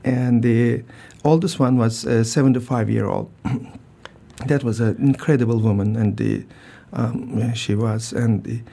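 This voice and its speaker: An older man with a Turkish accent speaks in a gravelly, mellow voice. He answers radio interview questions haltingly, restarting sentences, filling pauses and often clearing his throat. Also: mid-range voice, fairly even intonation. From Turkey. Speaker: transplant surgeon guest.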